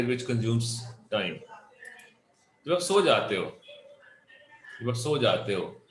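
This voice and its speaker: A man's voice: medium pitch, a bit bright. Echoey Pakistani man giving a lecture. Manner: questioning, repeats phrases for emphasis. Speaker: motivational speaker and lecturer. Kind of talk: interactive motivational lecture mixing Urdu and English. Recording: echoey room.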